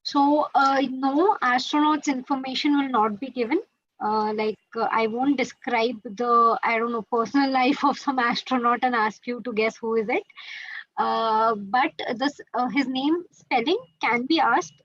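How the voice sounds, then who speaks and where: A woman's voice: on the high side, fairly even intonation, a little slow, fairly bright tone, no echo, highs cut off. A woman with an Indian accent explaining quiz rules to students on a webinar, mixing Hindi into English with many fillers. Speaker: quiz organizer teaching students. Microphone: video call.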